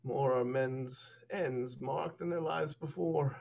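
A man in his forties playing a dying old English duke in a Shakespeare reading over a video call, declaiming slowly and with passion. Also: medium pitch, gravelly, darkish tone. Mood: grave. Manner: declamatory, slow and impassioned. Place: home room. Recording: muffled video call audio.

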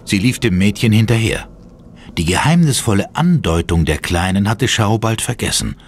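A man's voice: lowish voice, animated, moderate pace, background noise. A man giving dramatic scripted narration as the narrator of a German horror audio drama.

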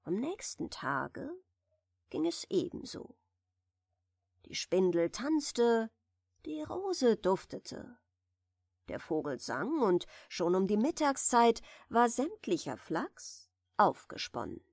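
A woman reading a fairy tale softly and soothingly as a bedtime audiobook narrator, in a measured way. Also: medium pitch, very animated, dry recording, clean studio sound. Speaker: audiobook reader of fairy tales.

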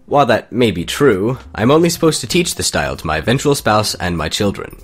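An actor playing a calm, courteous young martial-arts student with a measured, formal manner in an audio drama. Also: male voice, lowish voice, breathy edge, soft background music. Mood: calm, patient.

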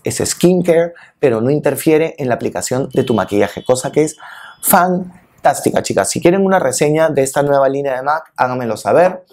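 Chatty Peruvian man in his fifties. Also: medium pitch. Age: early 50s. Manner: chatty, expressive, addresses viewers familiarly. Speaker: beauty vlogger and makeup reviewer. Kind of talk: casual makeup review and tutorial to camera. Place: indoor room, filmed seated in front of a plain wall. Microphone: lapel mic.

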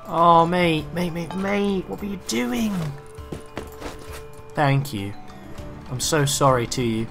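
Bright-voiced raspy gamer man. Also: fairly high pitch, takes it slowly, soft background music. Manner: chatty, excitable, swears casually. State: raspy throat from a cough. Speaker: gaming video creator. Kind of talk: live gameplay commentary.

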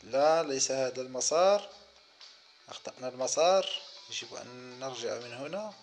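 A man hosting a children's gaming video, giving simple commentary in short, clear-cut phrases for young viewers. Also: medium pitch, moderate pace, soft background music. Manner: counts aloud, speaks in short simple phrases.

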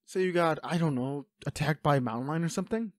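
A man's voice: a little high, fast, no room sound, clean studio sound, natural voice. A man playing an anguished, protective werewolf boyfriend in an audio roleplay, speaking with urgent, pleading emotion. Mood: reluctant, soothing. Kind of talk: intimate dramatic roleplay acting.

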